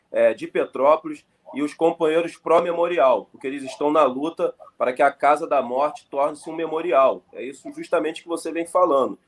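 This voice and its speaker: A man from Brazil, an activist guest on a live political stream, speaking with passion and repeating his key points like a rally speech. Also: on the high side.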